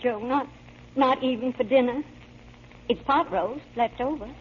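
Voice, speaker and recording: A woman's voice: on the high side, unhurried, a little hiss or hum, natural voice. An actress as a tender mother, tinny old radio. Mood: worried, pleading. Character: tender, plain-spoken widowed mother of grown sons. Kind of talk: 1940s radio drama acting. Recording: thin, narrow-band old radio broadcast.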